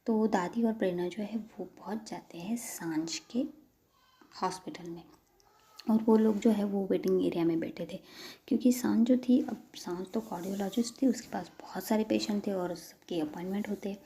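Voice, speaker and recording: A woman narrating a romantic audio story in a soft, half-whispered, breathy voice. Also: medium pitch, moderate pace.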